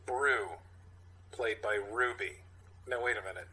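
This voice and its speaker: A man's voice: mid-range voice, a little slow, sharp tone, slightly rough. A man hosting a podcast, reading a comic mock-dramatic script and bantering around it. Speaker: podcast host.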